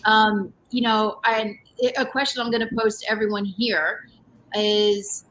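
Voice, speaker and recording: A woman's voice: steady tone, unhurried, noisy background. A woman speaking as a guest on a panel, talking loosely with many fillers and restarts about her healing work. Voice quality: voice says it has grown deeper. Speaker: panel guest, energy healer. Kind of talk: casual panel chat.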